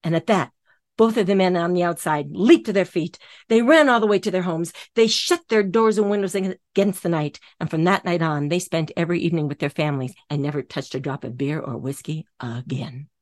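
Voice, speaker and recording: An older woman from the American Midwest who tells stories, narrating softly and slowing down for effect, then chatting in an interview. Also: lowish voice, no echo. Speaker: storyteller. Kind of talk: storytelling and interview chat.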